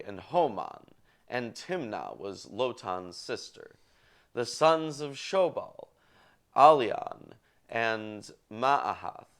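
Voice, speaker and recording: A man hosting a show, reading scripture aloud in a deliberate, list-like way with casual asides. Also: fairly low pitch, gravelly. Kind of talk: halting read-aloud of Bible genealogy with casual asides.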